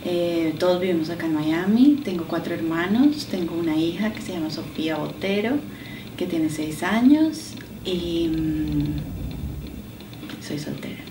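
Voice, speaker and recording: A woman's voice: on the low side, lively intonation, unhurried. Colombian woman in a noisy, echoey room. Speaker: artist and designer being interviewed. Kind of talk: interview answers about her own life and work. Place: indoors in a penthouse. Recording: roomy, distant mic, clear background noise.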